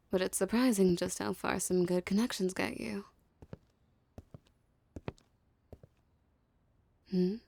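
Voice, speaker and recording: A woman playing a dominant, seductive mafia boss in an ASMR roleplay, with a soft, teasing, condescending drawl and menacing self-assurance. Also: fairly low pitch, vocal fry, no echo. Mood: casual, confident.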